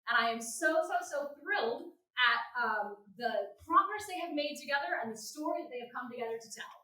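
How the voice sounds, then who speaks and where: A woman gives an emphatic, enthusiastic welcome speech from a stage. Her voice is high and bright, picked up from a distance in an echoey theatre. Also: animated, a little fast. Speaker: education programs manager introducing a show. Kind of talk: live welcome speech to an audience. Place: theatre stage before an audience.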